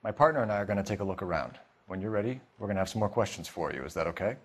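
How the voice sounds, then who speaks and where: A man's voice: on the low side, animated, very fast, slightly rough. A man acting as a composed, firm 1940s police detective in a video game, with a formal, clipped way of questioning. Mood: polite, businesslike.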